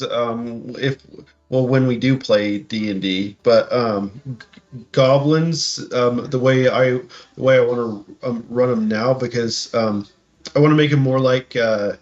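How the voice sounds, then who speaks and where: A man in casual chat as a podcast co-host, halting and stammering as he rambles through his thoughts. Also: medium pitch, highs cut off. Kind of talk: casual reaction chat about tabletop games.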